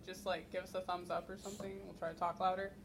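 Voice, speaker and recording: A young woman explaining personality theory at a convention panel without a microphone, often pausing on "um". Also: lowish voice, level tone, vocal fry, noisy background. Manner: explanatory, filler-heavy with frequent ums. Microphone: no microphone, recorded from the room.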